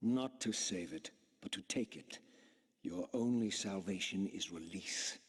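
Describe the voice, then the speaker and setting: An actor playing a bitter, proud undead vampire in a video game, with a theatrical, eloquent, brooding delivery. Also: male voice, sits low, unhurried. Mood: menacing. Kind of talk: scripted video game acting.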